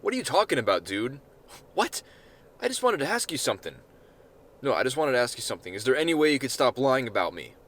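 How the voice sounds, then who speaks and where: A man's voice: medium pitch, big pitch swings, fast talker, fairly bright tone. An unbothered slacker dude, played by a man reading a story, easygoing and slangy. His mid-range voice stays casual and conversational. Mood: mildly annoyed. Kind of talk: amateur short-story character reading.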